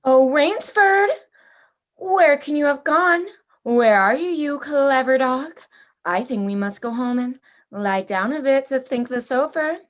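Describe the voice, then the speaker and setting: Woman playing a high-voiced, pompous huntress general. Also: lively intonation, a little slow. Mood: taunting. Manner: pompous, theatrically polite, toying. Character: suave, genteel, predatory female general who hunts people. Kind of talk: radio play acting.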